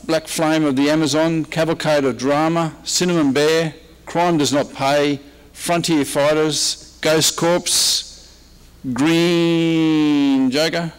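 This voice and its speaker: A man's voice: fairly high pitch, smooth, poor audio. An Australian man presenting as a historian in an informal slide-show talk, casual and dryly humorous. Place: convention presentation room, speaking at a microphone.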